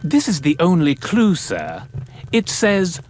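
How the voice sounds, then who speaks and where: An actor playing an obsequious, timid servant to a mayor in an animated game, formally announcing things. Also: male voice, on the high side, slow pace, soft background music, full character voice. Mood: deferential.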